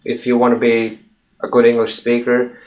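A man whose first language is Russian, talking casually and encouragingly to learners about speaking English, with frequent pauses and repeated phrases. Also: mid-range voice. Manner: relaxed, conversational, many "uh" pauses, repeats key phrases. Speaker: English fluency coach. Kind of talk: casual spoken lesson to viewers.

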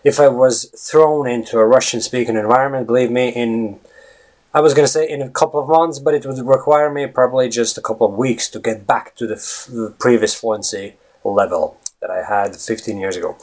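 A man in his thirties from Latvia, speaking English with a Latvian accent as a podcast host and English coach. He talks in a casual, rambling way, with fillers and frequent self-corrections. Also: mid-range voice. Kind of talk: casual video monologue.

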